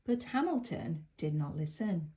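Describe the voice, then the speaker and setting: A woman telling a children's story aloud in an expressive storybook style. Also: deep voice, measured pace. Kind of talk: expressive children's picture book read-aloud.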